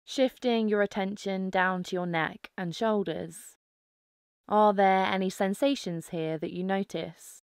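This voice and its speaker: Female meditation guide: clear, smooth tone, very measured pace, calm instructive delivery. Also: medium pitch, dry recording, clean studio sound. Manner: calm, instructive, unhurried with pauses. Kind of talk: guided mindfulness meditation narration.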